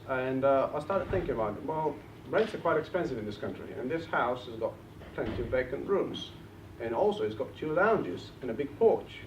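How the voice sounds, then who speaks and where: A man in his thirties from Bulgaria with a Bulgarian accent, giving an earnest motivational talk at a property seminar. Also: fairly high pitch. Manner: earnest, rallies the crowd. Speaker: property investment speaker. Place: event hall. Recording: roomy, distant, dull lo-fi with faint noise.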